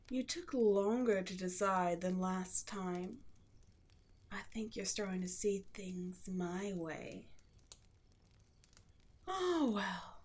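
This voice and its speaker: A woman playing an imperious, seductive demon queen in a roleplay audio drama, slow and theatrical, often slipping into a breathy whisper. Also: mid-range voice, a little mellow, heavily acted. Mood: amused, disappointed.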